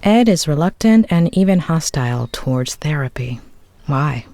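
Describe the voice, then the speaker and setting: An actress playing a composed, analytical female psychotherapist in a video game drama, speaking in a measured, reflective way. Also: low-pitched, expressive, dark tone, no echo. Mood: pensive. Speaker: therapist, doctor. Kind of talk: video game drama acting, inner monologue and dialogue.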